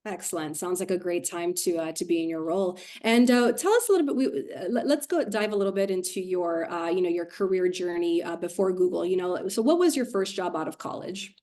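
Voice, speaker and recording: A woman's voice: lowish voice, animated, fairly quick, hardly any pauses, warm tone. A woman hosting a webinar interview on a video call, friendly, with a partly scripted intro and some "uh" fillers. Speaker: host, community manager.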